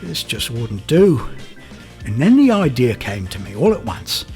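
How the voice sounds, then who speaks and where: Radio drama: unravelling British man, bitter and darkly matter-of-fact, rambling monologue over soft music. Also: mid-range voice, very animated, unhurried. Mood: cold, confiding. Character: bitter, unravelling British photographer recounting his crime. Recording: music under the voice.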